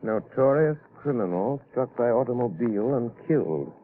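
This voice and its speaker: An actor playing a composed, urbane gentleman sleuth, measured and suave in 1930s radio drama style. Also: mid-range voice, animated, natural voice. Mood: calm, thoughtful. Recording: faintly noisy 1930s radio, narrow band.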